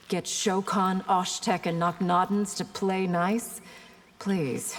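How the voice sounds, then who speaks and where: A woman playing a proud, wary warrior princess in a video game, with a husky, breathy voice and a pointed manner. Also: fairly low pitch, little pitch movement, takes it slowly. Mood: guarded, challenging. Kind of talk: video game character acting. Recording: long hall-like reverb.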